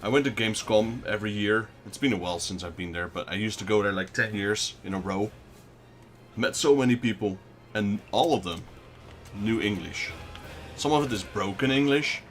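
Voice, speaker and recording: A man's voice: lowish voice, moderate pace, a bit bright, soft background music. A man with a Dutch accent, a native Dutch speaker, giving casual let's play commentary and chatting with his viewers. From Netherlands. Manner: chatty, talks back to viewer chat.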